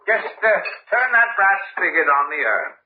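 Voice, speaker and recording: Swooping, husky saloon keeper, old radio. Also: male voice, high voice, big pitch swings, normal speed. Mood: kindly, casual. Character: gruff but kindly male saloon keeper. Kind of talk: old-time radio drama acting. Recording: tinny, band-limited old radio recording.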